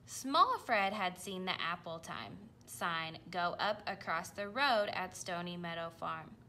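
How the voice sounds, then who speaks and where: Slow, bright-voiced woman reading a storybook. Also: fairly low pitch, lively intonation, a little hiss or hum. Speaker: storybook reader. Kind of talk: read-aloud of a children's picture book.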